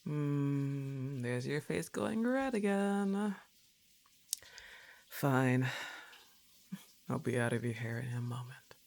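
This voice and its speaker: Slow, laid-back, teasing goth roommate, acted by a woman. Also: extremely low pitch, lively intonation, creaky, darkish tone, exaggerated voice. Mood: laid-back, reassuring. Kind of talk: intimate ASMR roleplay acting.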